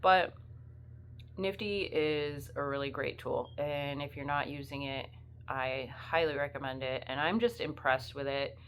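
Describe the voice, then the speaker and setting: A woman's voice: low-pitched, steady tone, unhurried, fairly bright tone, slight background noise. A woman with the flu, sniffly, chatting casually and rambling as a part-time reseller in her vlog. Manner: chatty, rambling, many fillers.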